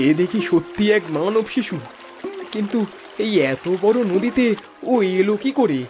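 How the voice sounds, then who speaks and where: A man voices a humble cartoon fisherman with a fairly high, earnest voice. He is kindly and emotive, speaking over loud music. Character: poor, hopeful, kindly fisherman husband. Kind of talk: dramatic cartoon fairy-tale voice acting.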